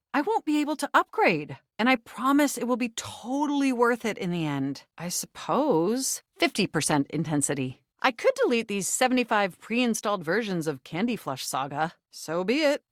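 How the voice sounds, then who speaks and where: A woman's voice: mid-range voice, dry recording, clean studio sound, natural voice. A woman voicing a flirtatious, eager female computer character in a dating video game, speaking with wordy, precise techy diction and a theatrical flair. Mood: persuasive, flirty.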